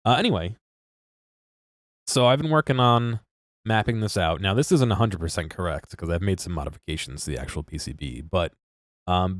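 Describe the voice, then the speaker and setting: Congested streamer, deep voice, rambling chat, dry close mic. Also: male voice, dry recording. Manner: rambling, self-interrupting, laughs at himself. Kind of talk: casual live-stream chat.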